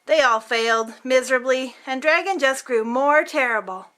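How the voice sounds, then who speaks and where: A woman's voice: high-pitched, normal speed, pushed and bright. A woman reading a children's picture book aloud as host, theatrically, drawing out words for emphasis.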